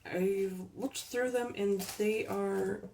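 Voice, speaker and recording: A woman casually showing off her thrift store finds, unhurried and chatty with ums and little laughs, her voice a bit worn as she is losing it. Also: medium pitch, steady tone, dark tone, recorded from a distance, poor audio. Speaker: collecting channel host. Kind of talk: casual thrift haul chat.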